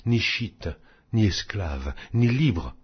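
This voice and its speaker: A man reads scripture aloud as an audiobook narrator, with a low-pitched and somewhat rough, husky voice. He goes slowly and solemnly, setting out each phrase in a measured, declamatory manner. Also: sing-song. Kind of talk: solemn scripted Bible reading. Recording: dull, muffled lo-fi audio.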